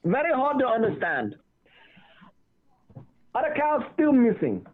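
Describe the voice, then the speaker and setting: A man's voice: very high-pitched, unhurried, heavily acted. A man putting on a cheeky broken-English jokester. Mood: boastful. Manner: deadpan joking, broken phrasing. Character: comic man from Baghdad, cheeky, deadpan. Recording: tinny phone line.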